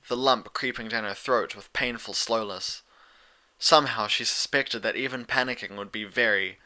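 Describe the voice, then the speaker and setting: A man's voice: medium pitch, sharp tone. A man reading a story aloud as a scripted audiobook narrator.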